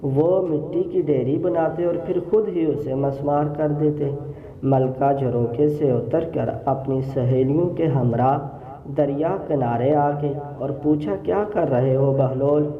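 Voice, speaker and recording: A man narrating a scripted religious story in a measured, formal storytelling manner. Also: on the high side. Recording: roomy echo, phone-like narrow sound.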